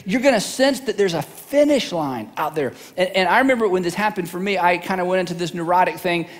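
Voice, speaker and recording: A man's voice: high-pitched, expressive, fairly quick, big-room sound. A middle-aged male pastor preaching a sermon in a casual, humorous way, often repeating words as he goes.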